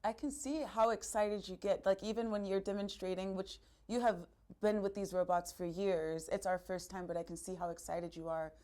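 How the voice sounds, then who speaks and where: A woman's voice: mid-range voice, steady tone, normal speed, hardly any pauses, dark tone. A woman TV news interviewer asking friendly, conversational questions.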